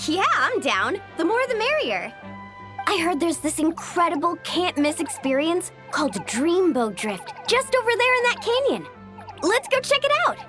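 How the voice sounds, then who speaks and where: A woman playing a breezy, playful young travel guide in an animated video game. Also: very high voice, normal speed, over music. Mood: upbeat.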